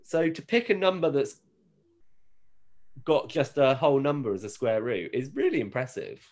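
A man's voice: medium pitch, big pitch swings, moderate pace, a little mellow. A British man chatting casually as a podcast co-host. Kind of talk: casual chat.

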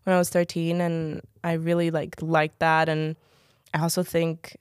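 A young woman actor with a British-tinged accent, chatting casually as an interview guest, full of "like" and quick laughs. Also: sits low, completely flat delivery, smooth, dry recording, clean studio sound. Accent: British-tinged English. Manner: chatty, filler-heavy, laughs often.

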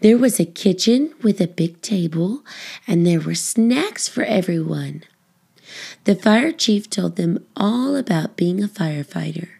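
A woman narrating a children's book, phrasing it expressively and deliberately for young listeners. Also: medium pitch, gravelly, warm tone, husky. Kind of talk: scripted storybook narration.

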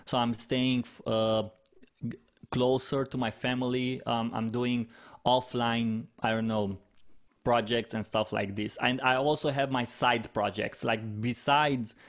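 A man's voice: mid-range voice, little pitch movement. Young romanian guy talking english, lots of stops and restarts, casual interview. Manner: halting, many restarts and fillers. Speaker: podcast guest, content manager.

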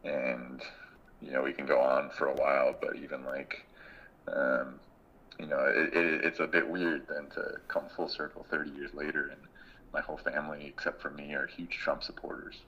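A man in his thirties, a guest in a casual interview, speaking in a thoughtful, reflective way and pausing often mid-sentence. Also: very deep voice, little pitch movement, muffled recording. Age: 30s. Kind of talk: casual conversation.

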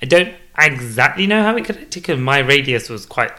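A man's voice: medium pitch, big pitch swings, normal speed, bright, forceful tone. A man in his thirties from the United Kingdom, a podcast guest who laughs often and fills with ums. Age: 30s. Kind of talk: casual interview.

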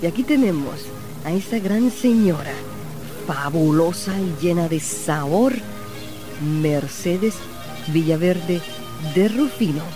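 A woman with a fairly low voice hosts a radio show and talks to her guest with open, eager admiration. Music keeps playing clearly behind her as she speaks. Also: lively intonation, takes it slowly. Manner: warm, admiring, enthusiastic. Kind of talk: radio interview and narration.

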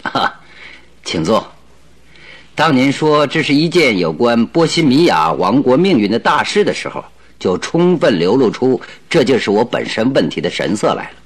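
Husky, courteous detective, lively intonation. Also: male voice, mid-range voice. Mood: courteous, confident. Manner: calm, polite, deliberate. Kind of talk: Mandarin radio drama acting.

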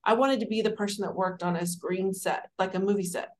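A woman in her forties from Dallas, telling her career story as an interview guest in a warm, rambling, conversational way. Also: mid-range voice, steady tone, fairly quick, a little hiss or hum. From Dallas, Texas. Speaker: guest, city administrator.

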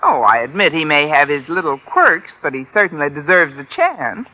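A woman's voice: low-pitched, very animated, normal speed, dull audio. An American actress playing a wry, middle-aged woman schoolteacher with dry, sardonic wisecracks in a 1950s radio sitcom. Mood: indignant, wry. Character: wry, wisecracking single woman high school English teacher. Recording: hissy old radio broadcast.

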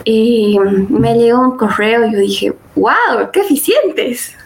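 Young woman on a podcast, kinda high voice, chatty, lots of fillers and little quoted bits. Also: very animated, slow pace, vocal fry, low-quality recording. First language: Spanish. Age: young adult. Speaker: podcast guest. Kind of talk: casual interview storytelling.

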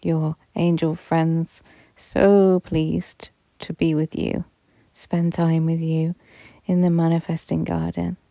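A woman guiding a visualization meditation in a soft, soothing, unhurried manner, at times dropping almost to a whisper. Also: sits low, flat delivery, gravelly, dry recording. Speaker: meditation guide. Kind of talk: guided meditation narration.

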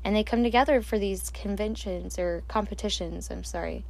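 A woman hosting a solo podcast alone at night, talking slowly and calmly into a microphone in a soft, soothing voice with quiet laughs. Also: medium pitch, gravelly, low-quality recording. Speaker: podcast host. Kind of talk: solo reflective podcast monologue. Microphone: handheld or desk microphone, alone at night.

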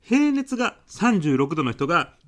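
A man's voice: on the high side, big pitch swings, measured pace. A man playing an insistent, argumentative, eccentric businessman with absurd logic in a comedic radio drama. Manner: insistent, matter-of-fact, argumentative.

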